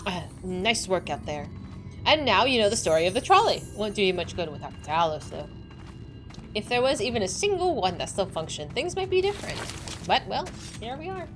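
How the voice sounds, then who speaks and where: A young woman streaming a game, chatting excitedly with a slightly squeaky voice, over faint game music. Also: fairly quick. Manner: excitable, chatty, laughing often. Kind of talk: casual chat while playing a game.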